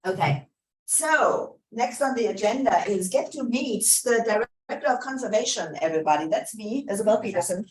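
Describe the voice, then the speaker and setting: A woman's voice: mid-range voice, animated, moderate pace, a little mellow, roomy sound, low-quality recording. A woman from Germany with a German accent, chairing conservation at a community meeting, chatty and checking in with listeners often. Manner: chatty, restarts often, checks in with okay and right.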